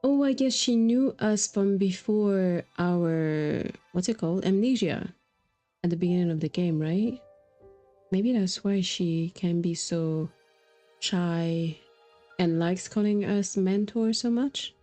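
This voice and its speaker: A woman's voice: on the low side, takes it slowly, dark tone. A woman doing casual live game commentary as a streamer, informal and joking, speaking into a microphone. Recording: game music behind the voice. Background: faint game music.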